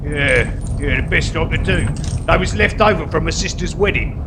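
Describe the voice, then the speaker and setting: A man's voice: on the high side, normal speed, lightly acted. A man acting in a live comedy as an earnest, pretentious, bungling criminal who fancies himself a guerrilla artist, hesitant and colloquial. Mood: eager. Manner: hesitant, colloquial, with mock-grand turns of phrase. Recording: live stage recording with clear noise.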